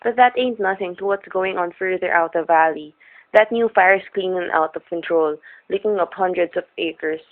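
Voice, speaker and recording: Through tinny vintage radio sound comes an actress as a folksy old diner woman with a lowish voice. She gabs away in homespun, rustic grammar. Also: normal speed, slight background noise, close to an everyday voice. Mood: gossipy, concerned. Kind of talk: old-time radio character acting.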